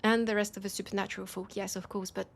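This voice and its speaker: A woman playing a proud but desperate vampire leader in an intimate audio drama, with a French accent and a halting, breathy delivery that slips toward whispers. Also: mid-range voice, level tone. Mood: earnest, devoted. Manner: hesitant, halting, breathy asides dropping into whispers.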